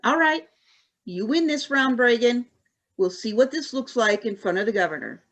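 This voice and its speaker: A woman plays a defiant deputy governor in a radio play. Her voice sits a little high and moves around a lot. She is assertive and indignant, pushing back hard. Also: expressive, moderate pace, fairly bright tone. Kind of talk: radio play script reading.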